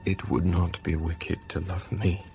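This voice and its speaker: An actor playing a brooding, passionate middle-aged master of a country estate in a period radio drama, gravelly and intense. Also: male voice, deep voice, level tone, unhurried, warm tone, soft background music. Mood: desperate, pleading. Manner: intense, confessional, pleading.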